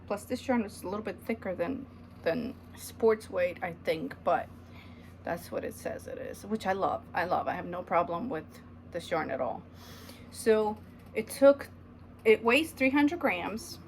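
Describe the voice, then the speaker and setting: A woman's voice: medium pitch, expressive, normal speed, vocal fry, slight background noise. A woman in her thirties, from Ukraine, chatting casually at home about her knitting, rambling with many ums. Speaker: knitting vlogger. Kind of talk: casual chat to camera. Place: home, indoors.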